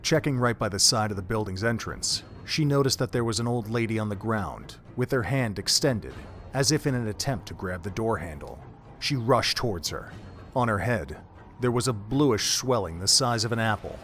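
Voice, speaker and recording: A man narrating a fan audio drama in a steady, measured storytelling manner. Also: on the low side.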